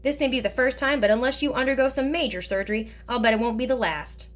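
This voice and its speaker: A chatty old man from a storybook, kind and full of jokes, who rambles on in a folksy way. A woman acts him out, with his voice set fairly high. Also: quick pace, natural voice. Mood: teasing. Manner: joking, folksy, talkative. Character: teasing kindly older male driver.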